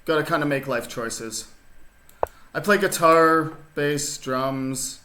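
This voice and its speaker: A man's voice: a little high, slow pace, pushed and bright, slight background noise. A man chatting casually with viewers while streaming a chess game from his rural desert home, rambling and laid-back, full of fillers and restarts. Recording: roomy echo.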